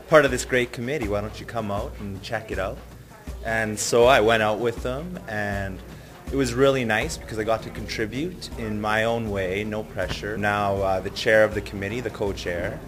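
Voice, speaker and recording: Young male co-chair, low voice, sincere, loose delivery over music. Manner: warm, sincere, lightly rambling. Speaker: committee co-chair. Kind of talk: interview testimonial.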